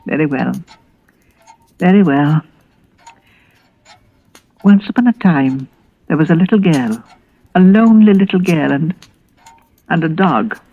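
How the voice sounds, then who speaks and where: A woman's voice: sits low, animated, unhurried, long pauses, no echo, heavily acted. An older actress playing a tender, sorrowful London grandmother in a 1940s radio drama. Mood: gentle, reluctant. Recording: muffled old radio recording.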